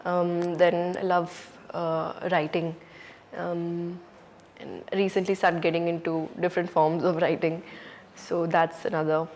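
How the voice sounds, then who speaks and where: A woman's voice: lowish voice, flat delivery, unhurried, darkish tone, a little hiss or hum. A woman from Hyderabad with an Indian English accent, an actress answering interview questions candidly and reflectively, often saying "you know" and "um". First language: Urdu. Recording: hall reverb.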